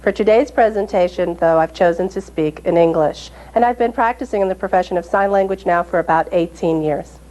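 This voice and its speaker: A woman from New York introducing herself as an interpreter and presenter, speaking clearly and at a measured pace. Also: fairly low pitch, darkish tone, rough recording. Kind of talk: recorded panel presentation.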